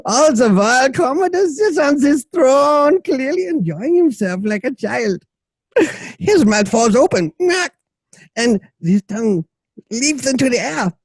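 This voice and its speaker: A man's voice: very high voice, expressive, unhurried, breathy edge, no echo. A man reading stage directions as narrator of an amateur radio play, in a theatrical, playful and dramatic way. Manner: dramatic, playful, acts out shouts and crowd.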